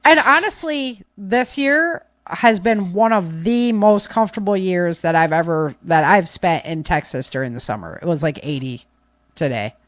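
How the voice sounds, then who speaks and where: A woman's voice: lowish voice, expressive, normal speed, dry recording. An American woman hosting a podcast, talking casually and off the cuff in an emphatic, conversational way. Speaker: podcast host. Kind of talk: casual unscripted podcast commentary.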